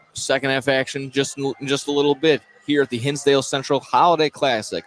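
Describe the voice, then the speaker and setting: An American man doing live play-by-play commentary of a basketball game. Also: lowish voice. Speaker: play-by-play announcer. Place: indoor basketball gym, broadcast commentary. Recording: noisy live gym ambience.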